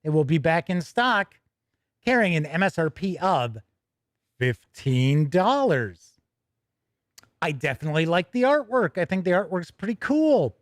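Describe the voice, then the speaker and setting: High-pitched man hosting a chatty livestream. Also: big pitch swings, a little slow, no echo, studio quality. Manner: chatty, conversational, self-correcting asides. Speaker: host of a tabletop gaming news show. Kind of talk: casual livestream chat and news reading.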